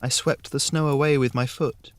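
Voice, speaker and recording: A man narrating a scripted fairy tale in the first person, measured and with dramatic pauses. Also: mid-range voice, steady tone, no room sound. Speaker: story narrator.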